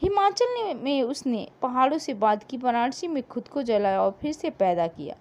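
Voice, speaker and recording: A woman narrating a scripted story in a dramatic, emotive way with deliberate pauses. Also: sits high, big pitch swings, a little mellow.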